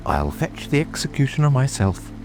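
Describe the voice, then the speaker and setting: A man's voice: mid-range voice, big pitch swings, normal speed, music in the background. A man playing a pompous, fussy and imperious king in a dramatic audiobook reading. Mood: indignant, decided. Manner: haughty, imperious.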